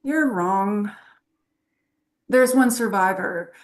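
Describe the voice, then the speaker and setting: A woman playing a flirtatious, knowing adventurer professor in a script table read, with a teasing, unhurried delivery. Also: medium pitch.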